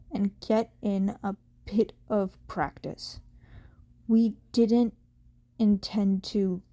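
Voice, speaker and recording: A woman voicing a tired, gruff adult male pro hero in an audio story, deadpan and measured. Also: medium pitch.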